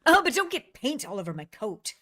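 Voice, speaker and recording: A woman's voice: a little high, big pitch swings, brisk, sharp tone, exaggerated voice. An actress playing a jealous, domineering witch wife in a vintage radio drama, teasing and possessive with a sweet menace. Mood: sweetly menacing.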